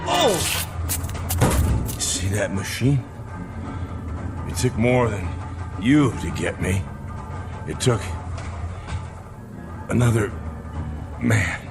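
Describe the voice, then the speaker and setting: An actor playing a bitter, defiant factory worker, impassioned and plainspoken in a dramatic performance. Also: male voice, lowish voice, animated, drawn-out words, gravelly, long pauses, over music, exaggerated voice. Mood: wounded, gasping. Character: bitter, defiant male factory worker raging at his boss. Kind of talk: dramatic radio-style acting.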